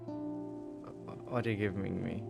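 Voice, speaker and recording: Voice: medium pitch, huge pitch swings, slow, vocal fry, over music. A streamer of unclear sex giving casual, jokey, slangy commentary while playing a game.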